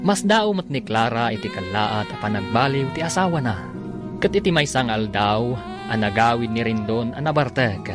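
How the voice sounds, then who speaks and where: A man with a medium-pitched voice announces and narrates a radio serial. His delivery is formal and dramatic, with prominent music behind him. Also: expressive, normal speed. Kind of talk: scripted narration.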